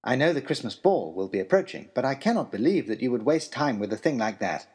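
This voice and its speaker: A man narrating a scripted adventure journal in an expressive, dramatic way with formal period diction. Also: mid-range voice, quick pace, husky. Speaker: storyteller, first-person narrator.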